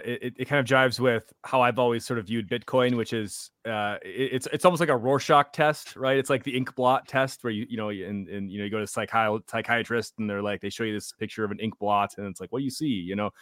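A man's voice: medium pitch, quick pace, few pauses, a bit bright, no room sound, studio quality. A man hosting a podcast, asking questions in a chatty way with lots of fillers. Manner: chatty, lots of "like" and "you know". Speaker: podcast host. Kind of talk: casual podcast interviewing.

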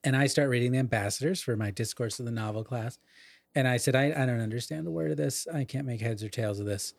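A middle-aged man talks casually about literature as a podcast co-host. His voice sits at an ordinary pitch in a very dry recording, and he is learned and digressive, wandering into asides. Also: quick pace, vocal fry, no echo.

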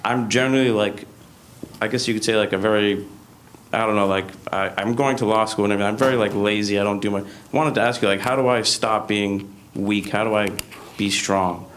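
A young man in his twenties asks a question hesitantly, stumbling over fillers in a low voice. A faint noise sits under the recording. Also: steady tone, a little fast, fairly bright tone. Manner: hesitant, full of fillers and restarts. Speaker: guest asking a question.

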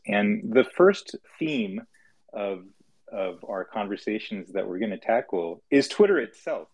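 A man's voice: fairly low pitch, husky, rough recording. A man hosting a live audio conversation, upbeat and joking, a bit rambling as he sets things up. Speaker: host.

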